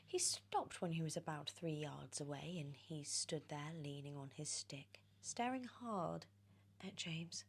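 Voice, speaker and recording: A British woman reading a storybook in ASMR style, drifting between soft whispers and expressive, animated speech. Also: low voice, measured pace, vocal fry, husky. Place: on a sofa indoors.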